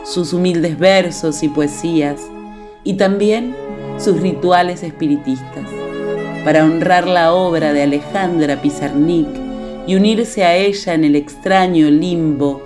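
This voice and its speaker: A woman's voice: on the low side, measured pace, music in the background, natural voice. A woman delivering scripted narration for a radio play, as its narrator.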